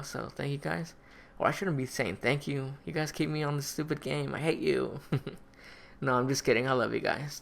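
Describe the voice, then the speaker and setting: Young man, heavy vocal fry, worn-out voice, relaxed chatty gamer Q&A. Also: medium pitch. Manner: chatty, easygoing, self-deprecating, laughs often. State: tired, voice wearing out. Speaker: gaming YouTuber answering fan questions. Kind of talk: casual Q&A vlog.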